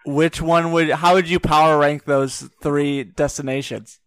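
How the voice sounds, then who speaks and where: A man's voice: a little high, steady tone, a little slow, a bit bright, smooth, no echo. A man hosting a casual trivia podcast, upbeat and joking as he questions callers. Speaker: podcast host.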